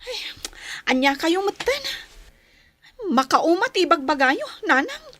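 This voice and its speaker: A woman's voice: very high voice, big pitch swings, slow, fairly bright tone, full character voice. An actress playing a petulant, demanding young girlfriend in an Ilocano radio drama, with a whiny, sulking delivery. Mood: defensive. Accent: Ilocano, northern Philippines.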